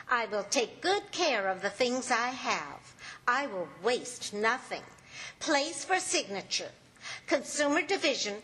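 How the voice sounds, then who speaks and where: A woman plays an affectionate middle-aged wife in old-time radio comedy. She speaks in a fairly high, animated voice, folksy and gently scolding. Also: heavily acted, low-quality recording. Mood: earnest. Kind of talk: 1940s radio comedy acting.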